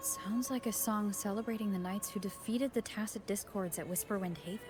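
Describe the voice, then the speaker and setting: An actress playing a calm, observant young woman traveller in a video game. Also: medium pitch, a little fast, over music, lightly acted. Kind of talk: scripted video game dialogue.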